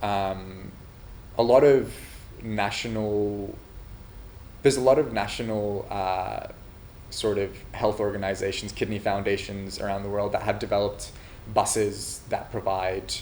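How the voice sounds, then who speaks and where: A man from Finland who is also a nursing student, answering interview questions about his startup in a measured, thoughtful way, pausing often with "uh". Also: lowish voice, little pitch movement, darkish tone, slight background noise, rough recording. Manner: measured, thoughtful delivery with frequent "uh" pauses. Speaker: entrepreneur pitching a startup idea.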